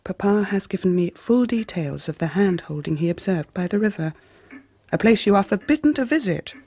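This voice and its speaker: A woman playing a middle-aged, stern and genteel Victorian mother in a radio drama, with a formal upper-class English accent and measured delivery. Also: mid-range voice, animated, dark tone, husky. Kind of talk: 1990s radio drama acting.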